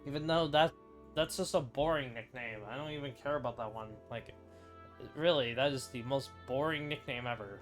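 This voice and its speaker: A woman's voice: extremely low pitch, moderate pace. A woman doing casual gameplay commentary, reacting with blunt, sceptical, offhand asides. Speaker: gamer reacting to game story. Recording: game music behind the voice.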